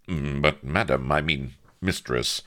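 A man's voice: rumbling low, a little slow, fairly bright tone. A man playing a naive, gallant young naval officer in an audiobook, speaking with fervent, old-fashioned earnestness. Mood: bewildered. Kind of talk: audiobook character voice.

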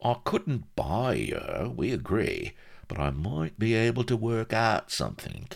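A man's voice: fairly low pitch, huge pitch swings, a little slow, creaky, breathy edge, pitched above the performer's own voice, exaggerated voice. A man voicing a shifty, unctuous male shyster from a storybook, with a wheedling, colloquial way of talking. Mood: sly, wheedling.

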